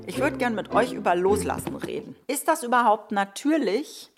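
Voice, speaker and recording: A woman with a husky voice, informally leading a rehearsal discussion. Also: on the high side, sing-song, moderate pace. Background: faint music.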